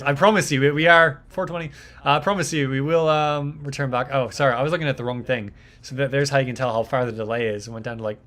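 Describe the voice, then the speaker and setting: A man's voice: mid-range voice, fairly bright tone. A young man hosting a live mixing stream, chatting casually and rambling with lots of fillers and repeated words. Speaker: livestream host, mixing engineer. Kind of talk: casual livestream talk.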